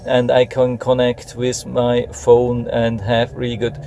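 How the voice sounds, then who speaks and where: A man's voice: medium pitch, monotone, unhurried, creaky, noisy background. A man casually reviewing a gadget hands-on, explaining it plainly, step by step. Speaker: product reviewer.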